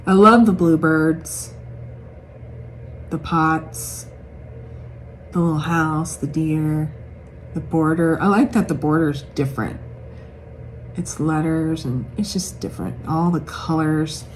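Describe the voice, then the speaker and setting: Low-pitched chatty woman with background noise. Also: slow, long pauses. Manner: chatty, gushing, thinking aloud. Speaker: cross-stitch vlogger showing patterns. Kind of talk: casual chat to camera. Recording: clearly noisy room ambience.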